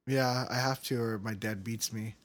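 A man's voice: lowish voice, steady tone, normal speed. A man co-hosting a casual chat podcast, rambling and laughing often between his words, recorded on a cheap makeshift mic. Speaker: podcast co-host.

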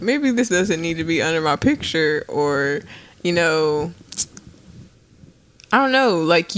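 A woman co-hosting a casual podcast, bantering and teasing in quick replies. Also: deep voice, a bit bright, dry recording. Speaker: podcast co-host. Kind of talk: casual chat.

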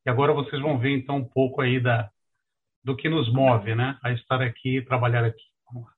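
An older Brazilian man from Rio de Janeiro with a husky voice, giving a courteous, conversational introduction as a guest presenter over a video call. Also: mid-range voice, little pitch movement, fairly quick. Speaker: guest presenter, international mobility professional. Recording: muffled, narrow-band video call audio.

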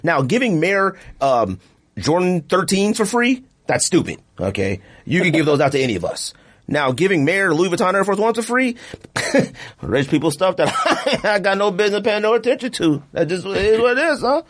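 A man hosts a casual, friendly podcast debate. His voice sits a little high and moves up and down a lot. He talks in slang and repeats points to drive them home. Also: normal speed. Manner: animated, slangy, repeats himself for emphasis. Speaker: podcast host.

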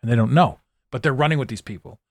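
Man, solo podcast host, intense and repetitive, dry close mic, mid pitch. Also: lively intonation, fast talker, creaky, no echo. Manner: intense, repeats words, swings between loud and quiet.

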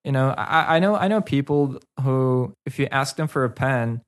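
A man's voice: mid-range voice, expressive, normal speed. A man, a guest in a casual interview, talking hesitantly with many fillers and restarts. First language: Karachay.

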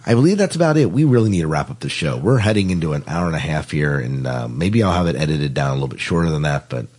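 A man's voice: extremely low pitch, animated, fast talker, darkish tone, slightly rough. A man hosting a podcast in a casual, chatty style. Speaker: podcast host. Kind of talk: casual podcast wrap-up chat.

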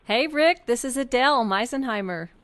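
A woman's voice: a little high, expressive, normal speed, a bit bright, no echo, clean studio sound. A woman co-hosting and interviewing in a casual, chatty style. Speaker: podcast co-host and interviewer. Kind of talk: casual friendly chat.

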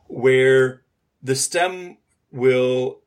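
A man's voice: medium pitch, extremely slow, smooth. A man co-hosting a podcast, explaining technical ideas step by step in an informal discussion. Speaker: podcast co-host.

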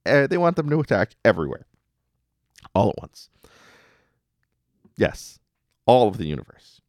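A man's voice: low voice, no echo, clean studio sound. A man hosting a podcast, casually recapping episodes with wry, jokey asides. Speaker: podcast host.

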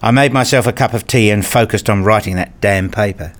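Man acting a male researcher, low voice, earnest, dry sarcasm, formal deadpan delivery, radio play narration and dialogue. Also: fairly quick, natural voice. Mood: reflective.

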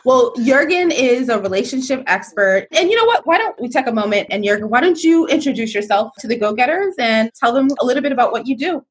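Upbeat higher-voiced woman podcast host. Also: animated, quick pace, few pauses, husky. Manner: upbeat, enthusiastic. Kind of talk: podcast hosting.

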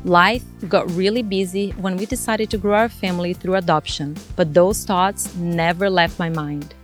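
Brazilian lady hosting a podcast, accented english, earnest and measured, faint music behind. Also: fairly low pitch, steady tone. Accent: Brazilian Portuguese. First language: Portuguese. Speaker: podcast host. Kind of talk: scripted podcast intro and casual chat.

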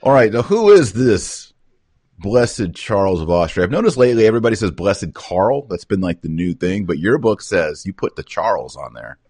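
A man with a Texan accent hosting a podcast interview, relaxed and joking, asking questions. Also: low-pitched, moderate pace, breathy edge, no echo, studio quality. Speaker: podcast host. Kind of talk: casual conversational interview.

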